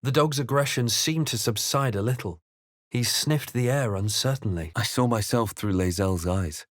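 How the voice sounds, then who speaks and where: A man playing a wary, quietly wry fantasy adventurer in a video game, speaking in a measured, deliberate, dramatic way. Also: medium pitch, dry recording, clean studio sound, natural voice. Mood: grave, intent. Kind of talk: video game voice lines, dramatic narration and muttered asides.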